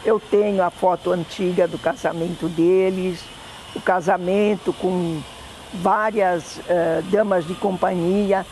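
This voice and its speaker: An older woman with a Brazilian Portuguese accent, fondly recalling family memories in an oral history interview, often pausing to correct herself. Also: lowish voice, steady tone, mellow, a little hiss or hum, low-quality recording. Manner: fond, meandering reminiscing with self-corrections.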